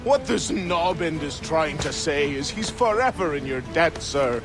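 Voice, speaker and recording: Casting: blunt, hearty woodsman for a game, high voice, very lively intonation, music behind. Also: measured pace. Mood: hearty, teasing. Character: brash, cheerful lumberjack, rough and teasing. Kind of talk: video game cutscene acting.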